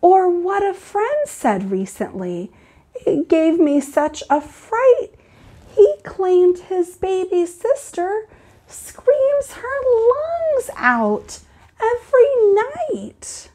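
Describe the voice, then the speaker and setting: A woman teacher reading aloud and explaining to children in an expressive, deliberate, instructive way. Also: extremely high pitch.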